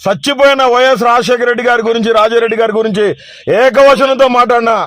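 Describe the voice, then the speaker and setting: High-pitched, rapid, threatening man. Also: fairly even intonation, fairly bright tone, dry recording. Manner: threatening, insistent, repetitive. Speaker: political party loyalist on a phone call. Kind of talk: heated phone argument. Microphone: phone call.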